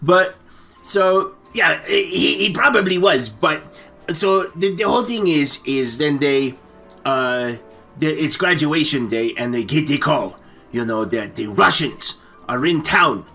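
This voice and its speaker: Bright-voiced, rambling man telling stories. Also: on the high side, animated, unhurried, creaky, soft background music. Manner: rambling, stammering, with muddled names and asides. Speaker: story-time show host. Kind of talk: comic, improvised movie recap storytelling.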